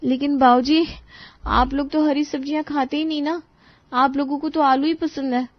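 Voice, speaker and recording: A woman's voice: high voice, steady tone, moderate pace, dry recording, natural voice. A woman voicing a frantic, complaining young daughter-in-law, on a dry, muffled studio recording. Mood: polite.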